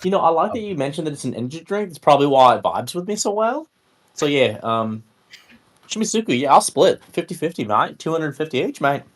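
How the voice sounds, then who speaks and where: A man's voice: on the high side, sing-song, fairly quick. A man playing a tabletop roleplaying game, negotiating casually in character with the others.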